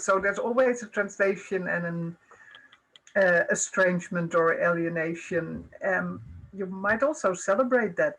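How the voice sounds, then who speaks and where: A woman's voice: fairly low pitch, fairly bright tone, poor audio. Older dutch woman, straight-talking advice over a video call. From Netherlands. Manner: direct, plain-spoken. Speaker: professor giving advice. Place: home study with bookshelves.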